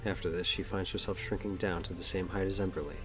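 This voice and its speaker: Casting: male narrator for a scripted superhero audio drama, deep voice, faint music underneath. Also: steady tone, fast.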